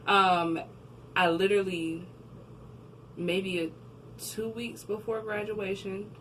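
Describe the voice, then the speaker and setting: A young woman from New Jersey, a guest in a casual interview, telling her story with animated quoting and frequent laughter. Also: mid-range voice, takes it slowly. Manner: animated storytelling, laughs often, acts out quotes. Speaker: guest, record label marketing admin. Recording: distant mic with background noise.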